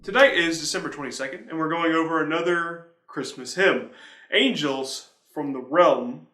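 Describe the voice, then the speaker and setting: A man's voice: fairly high pitch, moderate pace, bright, forceful tone, reverberant. A man presenting a church devotional, reading from a prepared script.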